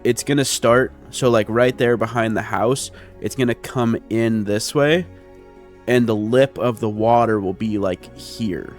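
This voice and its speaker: A man's voice: medium pitch, unhurried. A man giving casual, chatty gameplay commentary, explaining his plans step by step as a video creator speaking into a close mic. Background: background music.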